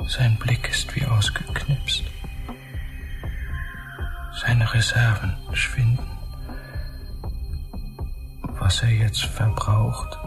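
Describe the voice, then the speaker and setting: An actor playing a detached, sombre narrator in a radio drama, reading sparse, measured short phrases. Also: male voice, fairly low pitch. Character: detached, sombre narrator observing a failing man.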